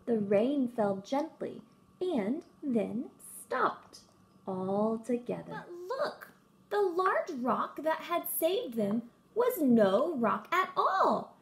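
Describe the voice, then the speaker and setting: A woman reads aloud for young children. Her voice is high and very lively, with some creak. She phrases things slowly and emphatically. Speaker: storybook reader for children. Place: room at home.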